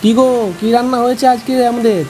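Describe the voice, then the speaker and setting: A man's voice: extremely high pitch, level tone, quick pace, warm tone, soft background music. A man playing a humble, hospitable poor village husband in a children's moral cartoon. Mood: welcoming.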